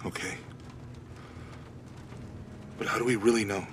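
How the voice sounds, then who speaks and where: A man's voice: fairly low pitch, steady tone, unhurried, fairly bright tone, a little hiss or hum. An actor playing a loyal, worried male adventuring companion who sounds concerned and questioning in a game cutscene. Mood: doubtful, sincere. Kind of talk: video game cutscene acting.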